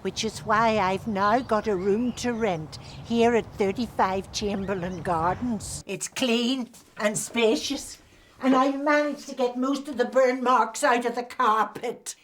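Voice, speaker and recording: A woman advertising a room for rent in a brisk, chatty way. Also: a little high, fairly bright tone, a little hiss or hum.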